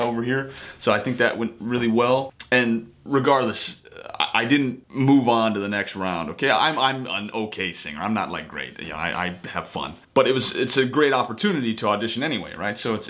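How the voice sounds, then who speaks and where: Man, lowish voice, conversational, earnest, casual motivational advice, stresses points by repeating them. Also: sharp tone. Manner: conversational, earnest, emphatic repetition of key points. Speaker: actor giving acting tips. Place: home office.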